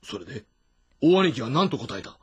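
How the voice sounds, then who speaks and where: An actor playing a gruff, impatient but loyal younger warrior in a historical game drama, blunt and rough in speech. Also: male voice, mid-range voice, very animated, moderate pace, no room sound. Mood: blunt, questioning.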